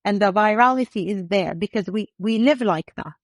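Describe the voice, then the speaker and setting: A woman in middle age who grew up in the UK, speaking as an interview guest. She is confident and animated, with a mid-range voice recorded close and dry. She builds her points with vivid examples. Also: mid-range voice, normal speed, no room sound. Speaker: company co-founder, guest. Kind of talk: casual interview talk.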